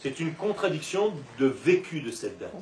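A man with a high-pitched voice gives an animated religious class. He leans on rhetorical questions and stretches words for emphasis. The recording is roomy and echoing, picked up from a distance with faint noise. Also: normal speed. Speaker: religious teacher giving a Torah class. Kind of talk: lively spoken lesson to a live group.

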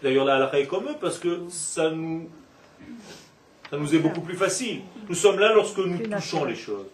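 A man giving an animated Torah class with playful asides, recorded from a distance in a roomy classroom. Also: fairly high pitch, normal speed, a little hiss or hum. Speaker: Torah teacher. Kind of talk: religious class lecture. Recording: echoey room, from far off.

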